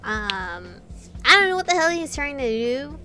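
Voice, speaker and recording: A woman hosting a visual novel playthrough, reading game text aloud with expressive, casual reactions and casual swearing. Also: high voice, a little slow, faint music behind.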